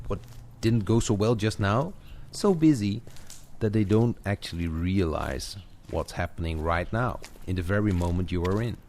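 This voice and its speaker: A man with a low-pitched voice speaks as a coach guiding a single listener. His delivery is conversational and encouraging, with playful asides. Faint background noise sits behind the voice. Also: a little mellow. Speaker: coach guiding a walking audio session. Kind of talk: guided coaching talk, conversational, addressed to one listener. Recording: clear background noise of outdoor walk effects. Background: added walking footsteps, garden birds, ambience.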